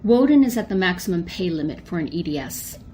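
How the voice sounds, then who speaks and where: A woman playing a brisk, businesslike spaceship commander in an old-time style radio drama, clipped and authoritative. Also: fairly low pitch, big pitch swings, darkish tone, faint music behind.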